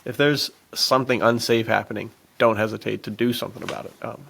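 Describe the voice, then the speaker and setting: Very gravelly, creaky man, casual chat. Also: medium pitch, fairly even intonation, quick pace, fairly bright tone. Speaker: podcast co-host. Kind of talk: casual chat about films.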